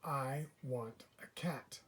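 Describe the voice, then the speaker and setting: A polite old storybook gentleman read by a man. He speaks plainly and earnestly at a middle pitch, like someone hoping for a companion. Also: takes it slowly, slightly rough. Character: weary, polite old man wanting a pet.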